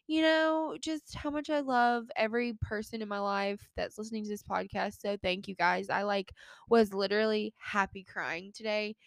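A woman's voice: mid-range voice, steady tone, moderate pace, creaky, smooth, dry recording, studio quality. Chatty young American woman hosting a podcast. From United States. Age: young adult. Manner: chatty, informal, rambling with frequent "like". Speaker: podcast host. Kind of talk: casual stream-of-consciousness podcast intro.

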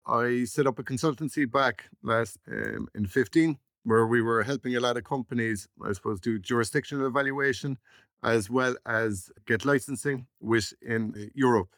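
A man's voice: mid-range voice, animated, normal speed, a bit bright, no room sound, clean studio sound. A man, a startup chief executive explaining his background conversationally as an interview guest.

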